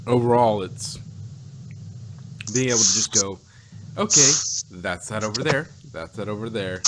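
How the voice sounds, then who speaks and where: Rambling man in noisy recording. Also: fairly low pitch. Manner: rambling, halting, acts out imagined reactions. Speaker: podcast co-host reviewing audio dramas. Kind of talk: casual unscripted review chat.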